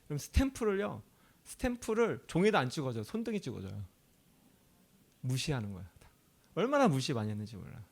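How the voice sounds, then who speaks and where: A man's voice: mid-range voice, big pitch swings, fairly quick, vocal fry, pauses often. A male pastor from Chungcheong, South Korea, preaching a sermon in a conversational way. He often asks the audience questions and laughs easily.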